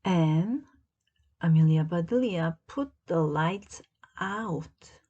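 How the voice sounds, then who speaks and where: A woman reading a children's story aloud as narrator, in a deliberate, expressive storytelling manner. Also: lowish voice, husky, lo-fi sound. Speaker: storybook reader.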